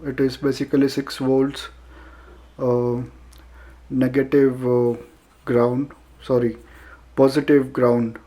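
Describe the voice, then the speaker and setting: A man with an Indian accent narrating a casual unboxing review, speaking haltingly with frequent filler pauses. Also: medium pitch, level tone, warm tone. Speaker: product reviewer.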